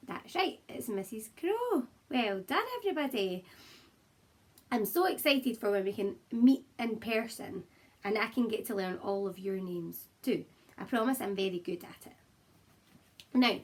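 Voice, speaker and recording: Slightly husky, higher-voiced woman reading to children. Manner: expressive, encouraging, simple address to small children. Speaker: primary school teacher reading to children. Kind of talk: children's picture book read-aloud with chatty asides to young viewers. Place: child's room at home, indoors.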